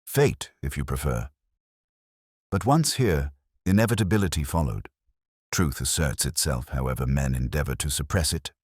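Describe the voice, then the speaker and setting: A man's voice: extremely low pitch, lively intonation, normal speed, darkish tone, breathy edge, no room sound, clean studio sound, full character voice. A man voicing a pompous, brilliant private detective who speaks in formal, grand pronouncements in an audiobook story. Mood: stern.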